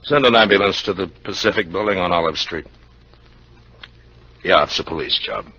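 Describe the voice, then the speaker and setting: An actor playing a gruff older insurance investigator, terse and grave, in a 1950s radio drama. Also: male voice, low voice, moderate pace, bright, forceful tone. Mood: grave, subdued. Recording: muffled, noisy vintage radio recording.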